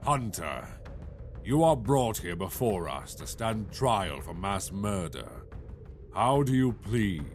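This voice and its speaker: A man's voice: lowish voice, very animated, slow, faint music behind. A man playing a cold, haughty, impatient lord judge in a fantasy audio drama, speaking formally. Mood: solemn.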